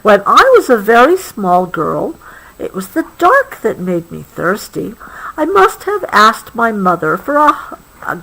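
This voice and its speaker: A woman voicing a gentle, reassuring kangaroo mother in a bedtime storybook, soft and patient. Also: mid-range voice, exaggerated voice, rough recording.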